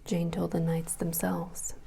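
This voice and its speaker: A woman reading a children's story aloud as ASMR in a soft, breathy whisper. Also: lowish voice, monotone, measured pace.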